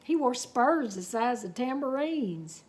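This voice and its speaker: A woman reading a children's picture book aloud, with expressive, drawn-out words and folksy asides. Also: a little high.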